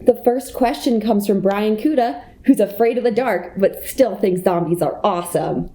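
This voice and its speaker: A woman's voice: medium pitch, moderate pace, dark tone, close to an everyday voice. A young American woman playing a prim schoolgirl who moderates an elementary school debate, formal and orderly, in a comedy radio play. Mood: formal, composed. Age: young adult.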